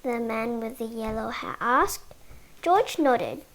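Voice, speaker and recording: A female reader reading a children's picture book aloud, halting word by word in short phrases. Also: a little high, animated. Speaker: storybook reader.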